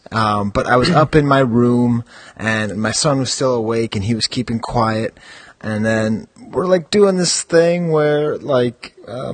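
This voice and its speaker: A middle-aged man hosting a podcast from his home basement, chatty and rambling, hoarse from a cold. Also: medium pitch. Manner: rambling, chatty, self-mocking. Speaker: podcast host. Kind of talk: casual chat.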